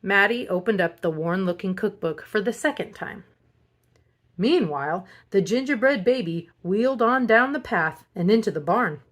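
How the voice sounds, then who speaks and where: Woman narrating a children's story, medium pitch. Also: lively intonation, moderate pace. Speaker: storybook reader.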